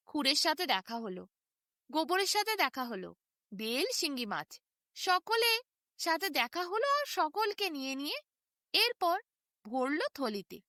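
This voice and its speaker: Bright, high-pitched woman storyteller. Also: no echo, studio quality. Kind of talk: animated children's fairy tale narration.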